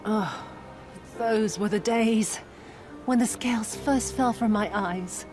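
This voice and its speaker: A woman's voice: fairly high pitch, a little mellow, faint music behind. An actress voicing a cruel, sadistic female spy villain in a video game cutscene, theatrically bitter and savoring each dramatic word. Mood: scornful, bitter. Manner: slow, dramatic, savoring words, dropping to whispers.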